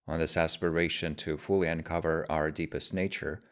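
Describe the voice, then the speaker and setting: A man guiding a meditation as a teacher, speaking in measured, deliberate phrases with pauses. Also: very deep voice, fairly even intonation. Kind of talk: guided meditation, slow spoken instruction.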